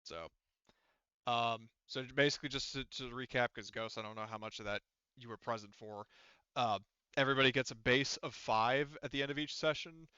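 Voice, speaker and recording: A man's voice: mid-range voice, fairly quick, sharp tone, clear, resonant tone, dry recording. Man game master, clean but dull audio. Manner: profane, conversational. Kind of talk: casual explaining of tabletop game rules.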